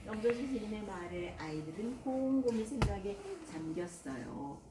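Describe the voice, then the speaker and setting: A woman's voice: medium pitch, moderate pace. An older woman narrating a children's story as a grandmother storyteller, expressively. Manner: expressive, acting out dialogue. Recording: echoey room with clear background noise.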